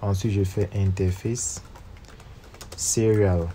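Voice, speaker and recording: A man's voice: sits low, steady tone, takes it slowly, mellow, a little hiss or hum. A man with a cold narrating a step-by-step screen tutorial, reading each command and number aloud as he types. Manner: steps through commands aloud, spelling out numbers. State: has a cold. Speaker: networking instructor. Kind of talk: screen-recorded tutorial walkthrough.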